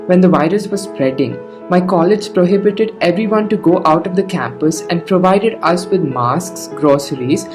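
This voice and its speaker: A woman student giving a sincere, encouraging personal video message. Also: lowish voice. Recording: guitar music behind.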